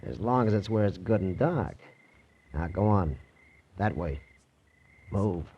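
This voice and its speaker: An American actor playing a slangy, city-bred hired gunman with a husky voice in a 1940s radio crime drama. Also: deep voice, animated, measured pace, background noise. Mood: menacing. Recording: dull old radio recording.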